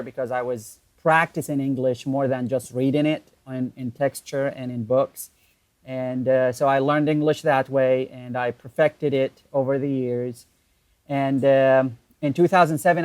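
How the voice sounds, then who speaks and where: A man's voice: medium pitch, dry recording. Guest, male, 30s, Iraqi Arabic accent, native Arabic, easygoing storyteller, dry video-call audio. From Iraq. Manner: relaxed, laughs easily, halting with fillers. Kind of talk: casual interview storytelling.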